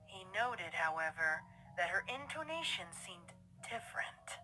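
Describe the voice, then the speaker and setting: A woman narrating a scripted fan-fiction story in a theatrical, deliberate storytelling manner. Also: lowish voice, very animated, sharp tone, faint music behind, poor audio.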